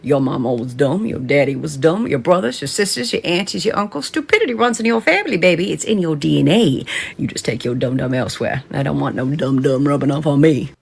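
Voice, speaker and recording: A husky voice of middling pitch delivers a sassy comic scolding. The pitch swings in a lively way as the insults pile up. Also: a little fast. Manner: sassy, insulting, repetitive build-up. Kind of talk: comic mock-scolding rant.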